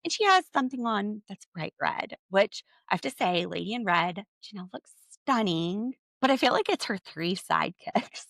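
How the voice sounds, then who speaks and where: A woman's voice: mid-range voice, normal speed, creaky, few pauses, dry recording. A woman hosting a podcast and recapping reality TV with sassy, snarky commentary. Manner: snarky, sassy, animated asides. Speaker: podcast host.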